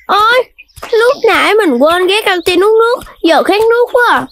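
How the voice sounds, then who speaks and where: Animal child for animation: piping very high, cheeky, playful, childlike. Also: female voice, lively intonation, normal speed, a bit bright, slight background noise, full character voice. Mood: carefree. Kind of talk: children's cartoon voice acting.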